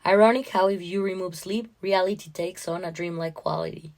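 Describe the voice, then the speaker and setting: A woman narrator reading a first-person literary short story in an expressive, dramatic way. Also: lowish voice, fairly quick.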